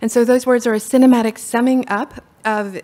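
A middle-aged woman from Appalachia with a soft-spoken Appalachian accent, giving a conference talk from a podium in a hall. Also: on the high side, steady tone, fairly quick. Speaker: conference speaker. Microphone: podium mic from far camera.

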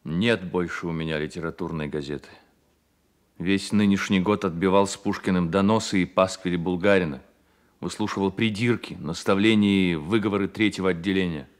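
An actor playing a weary, wounded, tender poet of about thirty, brooding and confessional, in a Soviet radio play. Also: male voice, deep voice, a little fast, natural voice. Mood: bitter, agitated.

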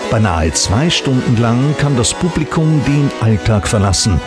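A man narrating a scripted regional TV news report in an even, measured way. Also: medium pitch. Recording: voice-over with music behind.

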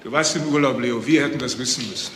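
An actor playing a dry, matter-of-fact police detective in a crime radio play. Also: male voice, medium pitch, fast, a bit bright, close to an everyday voice. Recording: clear background noise.

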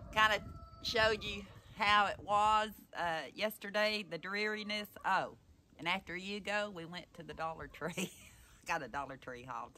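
A woman's voice: lowish voice, sharp tone. A woman in her sixties with a Southern American accent, chatting casually to camera in a folksy, rambling way. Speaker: vlogger. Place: in a parked car and outdoors in a yard. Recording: noisy outdoor and in-car recording.

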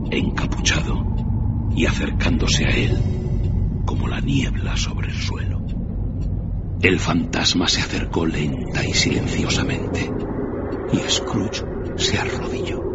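A man narrating a radio drama as a grave storyteller, measured and dramatic. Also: low-pitched, heavy background noise. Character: grave storyteller of a ghost tale. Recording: dull radio recording, music behind.

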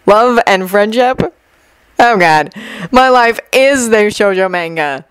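A woman's voice: medium pitch, lively intonation, measured pace, clean tone, dry recording, natural voice. A woman voicing an unimpressed, politely blunt teenage girl with a matter-of-fact manner. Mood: exasperated.

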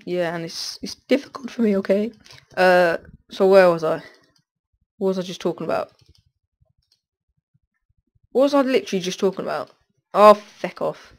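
A man's voice: high-pitched, a little slow, long pauses. A teenage boy with a stuffy cold, rambling through casual gameplay commentary and often breaking off mid-sentence. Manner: rambling, self-interrupting, distracted. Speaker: gaming commentator.

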